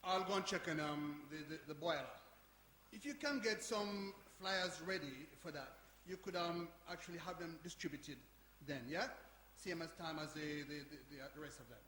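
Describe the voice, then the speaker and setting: A man's voice: a little high, normal speed, sharp tone, slight background noise. A man playing a hesitant, bumbling, good-natured committee helper who stumbles over his words in a stage play. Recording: echoey hall, live performance.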